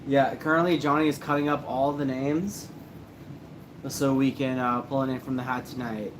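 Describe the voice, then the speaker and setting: A man hosting a casual livestream, relaxed and joking as he reads comments after a few drinks. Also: medium pitch, moderate pace, background noise. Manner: relaxed, joking, a little tipsy. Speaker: host of a paranormal channel livestream.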